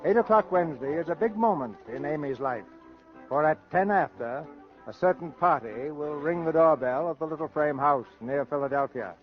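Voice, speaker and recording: A man hosting and narrating an old radio theater show in a formal, scripted, measured showman's style. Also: a little high, soft background music. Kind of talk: scripted 1930s-40s radio presentation. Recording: dull old radio broadcast recording.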